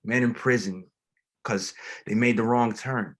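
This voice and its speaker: An actor playing a gentle, grieving pastor in a dramatic table read, speaking plainly and haltingly. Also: male voice, medium pitch, steady tone, close to an everyday voice. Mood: earnest, compassionate. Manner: reflective, plain-spoken, halting. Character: grieving, gentle, reflective male pastor, widowed father.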